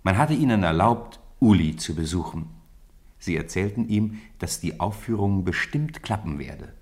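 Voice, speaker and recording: A middle-aged man calmly narrating a radio drama in a studio, with measured, gently solemn delivery. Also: low-pitched. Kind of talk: scripted storybook narration.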